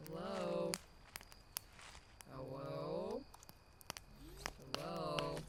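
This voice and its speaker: Slow-spoken, protective female wolf animatronic, acted by a woman. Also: sits low, heavy background noise, exaggerated voice. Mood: wary. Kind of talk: audio roleplay acting.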